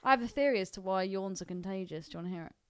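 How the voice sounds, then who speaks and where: A woman's voice: mid-range voice, rapid-fire, clear, resonant tone, dry recording, studio quality. A woman co-hosting a film podcast in casual, playful, teasing banter. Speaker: podcast co-host.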